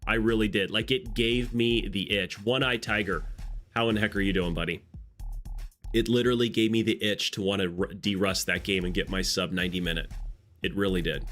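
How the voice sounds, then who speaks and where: Congested low-voiced man streaming live. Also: fairly even intonation, fairly quick, a bit bright, soft background music. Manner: chatty, greets viewers by name, rambling asides. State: congested nose. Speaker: live streamer greeting chat. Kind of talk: casual live stream chat.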